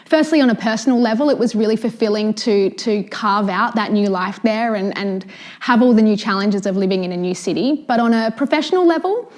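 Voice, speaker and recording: A woman's voice: mid-range voice, a little fast. A woman with an Australian accent giving articulate interview answers as a researcher. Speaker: cancer researcher, panel guest.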